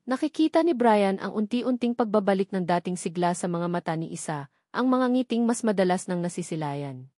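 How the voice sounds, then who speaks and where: A woman's voice: mid-range voice, a little mellow, no echo, studio quality. A woman with a Filipino accent reading a scripted romantic drama story in an emotive, dramatic storytelling manner, with short emphatic phrases. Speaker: story narrator.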